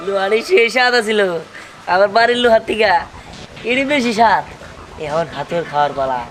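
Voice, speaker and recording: A man acts out a silly, greedy village boy for a low-budget comedy skit. He whines and pleads with exaggerated relish, over loud music. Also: a little fast, rough recording. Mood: sly, eager. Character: greedy, silly village boy who loves eating iron.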